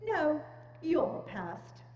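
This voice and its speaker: A woman's voice: sits high, sing-song, drawn-out words, a little mellow, exaggerated voice. A woman playing a solemn, caring female spirit in a stately, declamatory stage reading. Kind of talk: theatrical dramatic reading. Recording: echoey church hall, dull sound, faint noise.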